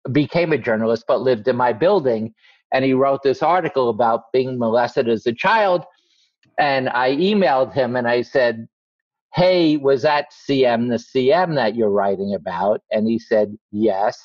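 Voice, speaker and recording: A man's voice: medium pitch, no echo. A man being interviewed about his book, telling blunt, wry stories from his family life and acting out what people said. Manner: wry, blunt, acts out quoted lines. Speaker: guest author. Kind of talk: candid conversational storytelling. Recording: slightly muffled dry recording.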